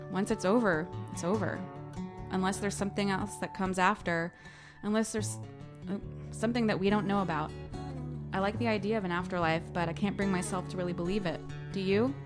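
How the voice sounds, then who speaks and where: A woman's voice: medium pitch, close to an everyday voice. A woman reading a novel aloud as a fearful, grieving teenage girl, in an earnest, confessional manner.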